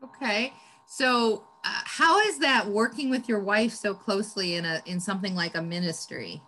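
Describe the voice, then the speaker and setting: A woman's voice: medium pitch, moderate pace, a bit bright. Chatty, teasing woman podcast host. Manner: chatty, teasing, laughs often. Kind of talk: casual interview chat.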